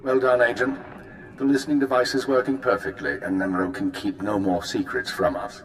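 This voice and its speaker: A man voicing a stern, precise intelligence handler giving crisp, commanding orders in a video game. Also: medium pitch, steady tone, fairly quick, slight background noise, natural voice, low-quality recording. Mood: approving. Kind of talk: video game cut-scene acting.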